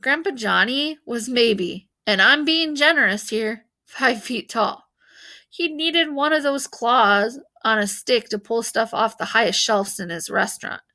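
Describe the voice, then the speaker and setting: A woman narrates a children's novel as a read-aloud storyteller. Her voice is slightly high and bright, and she reads at an unhurried pace with expression.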